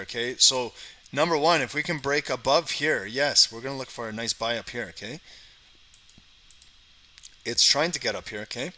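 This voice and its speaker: A man's voice: medium pitch, sharp tone, rough recording. A man from Canada hosting a live trading stream, chatting casually, talking to his viewers in the chat and tagging lines with "okay" and "guys.". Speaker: trading livestream host.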